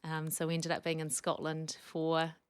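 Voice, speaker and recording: A woman in her forties with a New Zealand accent, a chatty, animated storyteller speaking as a podcast guest. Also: sits low, normal speed, smooth, dry recording. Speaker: podcast guest, speech pathologist. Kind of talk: casual interview chat.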